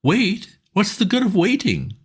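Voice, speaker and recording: A gushing, lovestruck young gentleman, put on by a man, pitched well above his speaker's own voice and pushing hard with every plea. His talk jumps up and down eagerly, and he sounds excitable and impatient. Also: a little slow, husky, full character voice.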